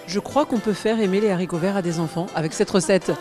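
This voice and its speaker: A woman's voice: mid-range voice, fast, over music. A woman hosting a TV food show, upbeat and full of praise as she presents. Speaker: TV show host.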